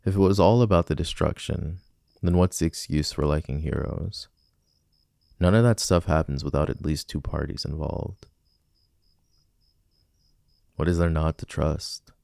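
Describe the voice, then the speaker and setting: A man's voice: very deep voice, normal speed, a little mellow, no room sound, studio quality. A man playing a smug, possessive villain who flirts intimately and teasingly with a civilian in a romantic ASMR roleplay. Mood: defensive, pleading. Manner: teasing, smooth-talking, intimate.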